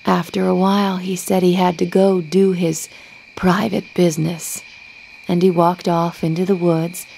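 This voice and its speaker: A woman narrates a first-person story in a measured, conversational way. Her voice sits fairly low, with a gravelly fry running through it. Its tone is dark and mellow. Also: level tone, slight background noise, poor audio. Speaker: story reader.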